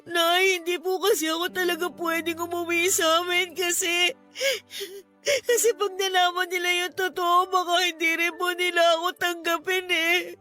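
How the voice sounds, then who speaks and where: A submissive, troubled young woman in a radio drama. Her voice is extremely high and sharp, yet the delivery is halting and deferential. Music plays behind her. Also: little pitch movement. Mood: tearful despair. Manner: meek, halting, tearful, deferential. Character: distressed, submissive young pregnant woman, a mistress enduring abuse.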